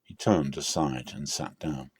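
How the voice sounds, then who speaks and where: A man's voice: very deep voice, measured pace, darkish tone, husky, no room sound. A man reading a Bible story aloud as a narrator, in a dramatic scripted style.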